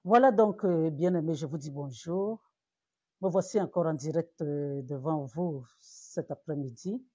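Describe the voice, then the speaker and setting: A woman's voice: low-pitched, unhurried, mellow, husky, no echo, dull audio. A woman pastor from Cameroon preaching in a live-streamed sermon, repeating words and rising to emphatic peaks.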